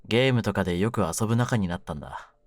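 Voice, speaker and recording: A man playing a cynical, wounded young mafia kidnapper in an audio drama, with a low voice and rough, brooding delivery. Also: fast, no room sound, clean studio sound, natural voice. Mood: wistful. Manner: rough masculine slang, taunting, brooding delivery. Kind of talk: Japanese situation-voice audio drama, ASMR.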